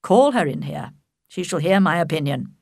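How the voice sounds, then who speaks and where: A woman voicing a calm, wry older father who speaks in a slow, measured, deadpan way. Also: sits low, creaky, dry recording, studio quality. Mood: dry. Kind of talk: audiobook character voice.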